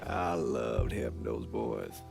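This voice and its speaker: An actor playing an older, gentle priest reminiscing in an interview-style game scene, halting and reflective. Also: male voice, very deep voice, animated, gravelly, exaggerated voice. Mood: fond. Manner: slow, halting, reflective, fond. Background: guitar music.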